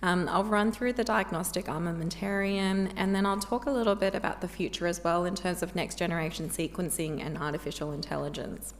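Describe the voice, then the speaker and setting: A woman specialist giving a medical conference lecture in a measured, informative way while losing her voice. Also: lowish voice, fairly even intonation, slight background noise. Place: conference hall. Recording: long hall reverb.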